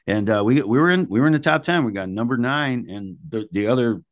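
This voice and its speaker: A man's voice: medium pitch, a little fast, dry recording, muffled recording. An older man, a musician being interviewed, telling anecdotes in a casual, blunt, salty and colloquial way.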